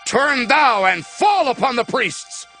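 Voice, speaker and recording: Paranoid raging king, high and piercing. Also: sing-song, slow pace, sharp tone, faint music behind, full character voice, rough recording. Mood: wrathful commanding. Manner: declamatory, accusing. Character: paranoid, wrathful king accusing his servants. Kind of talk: dramatized audio Bible acting.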